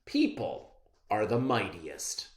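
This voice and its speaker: A man's voice: lowish voice, huge pitch swings, slow, echoey room, heavily acted. Man reading as a smug, insistent storybook man. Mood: triumphant. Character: smug, boastful man arguing in a fable. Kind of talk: children's storybook read-aloud.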